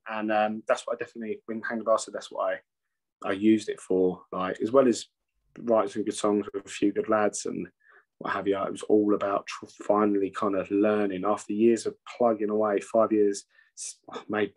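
Guy in his 30s, low voice, loose and sweary, talking through a webcam at home. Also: flat delivery, normal speed, low-quality recording. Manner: rambling, swears freely, many restarts. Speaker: metal band singer and bassist, guest. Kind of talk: casual interview over video call. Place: home room with shelves. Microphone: video call webcam.

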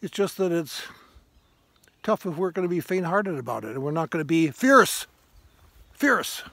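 Senior male, somewhat high voice, preacherly but informal, pondering aloud on a walk, hesitant phrasing with emphatic repeats. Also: expressive, bright, forceful tone, dry recording. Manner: thoughtful, halting delivery with repeated emphatic phrases. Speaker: vlogger, retired parish priest. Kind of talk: reflective informal sermon to camera while walking. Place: outdoors on a grassy woodland trail. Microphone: handheld phone at arm's length.

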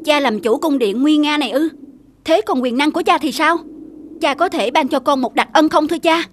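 A woman's voice: high-pitched, fairly quick, a little hiss or hum, close to an everyday voice. A woman voicing a headstrong teenage demigod son in a cartoon, earnest, insistent and theatrical. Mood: earnest, questioning. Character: headstrong eighteen-year-old demigod son, boastful and eager. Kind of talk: dubbed mythological cartoon acting.

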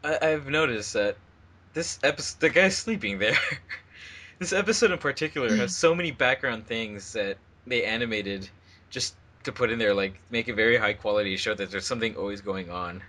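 A man's voice: medium pitch, animated, fairly quick, bright, forceful tone. A man chatting casually as he gives commentary along with a video. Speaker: fandub team member.

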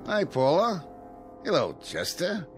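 Dim, slow-talking, swooping escaped convict. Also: male voice, on the high side, wildly swooping pitch, faint music behind, full character voice. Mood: affable, sheepish. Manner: folksy, slow-witted, ingratiating. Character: dim, affable male escaped-convict brother, naive and chatty. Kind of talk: radio drama acting.

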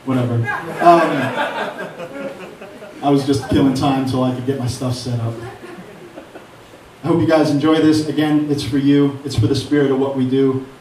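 A man with a middle-pitched voice speaks on a mic in a hall, thanking everyone in a rambling, self-deprecating way. His voice rings around the room and the mic sits some distance from him. Faint noise stays underneath. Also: fairly even intonation, moderate pace, long pauses. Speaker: beat producer. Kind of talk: speech at a live event on a mic. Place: event hall with an audience. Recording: echoey hall mic from far off.

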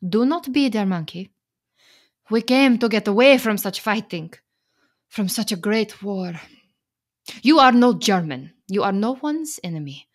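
A woman acting in a radio play as a clever, soothing immigrant wife, speaking measured, formal English. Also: mid-range voice.

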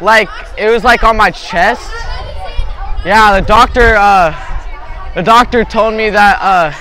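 A teenage boy with a high, sharp voice performs a casual phone prank out loud. Some outdoor chatter from a school lunch area sits behind him. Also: takes it slowly.

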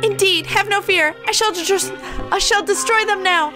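A very high, grandiose young male anime hero acted out by a woman, a pigeon fallen angel from a visual novel. He declaims with anguish and urgency over game music. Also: moderate pace. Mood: anguished, dramatic. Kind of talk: visual novel character voicing.

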